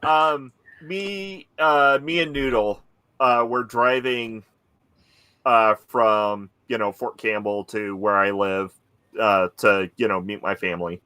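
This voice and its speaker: A man from the Pacific Northwest telling stories in a casual chat, halting with lots of fillers. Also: lowish voice, steady tone, bright, forceful tone, smooth, dry recording. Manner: halting storyteller, many fillers. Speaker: podcast cast member.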